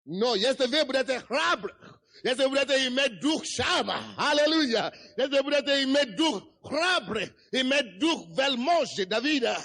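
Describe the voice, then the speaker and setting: Fiery pastor, man, high voice, non-native accent, shouts and chants his sermon. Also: expressive, normal speed. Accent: non-native Russian. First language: not Russian. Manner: impassioned preaching, shouting and chanting. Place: church.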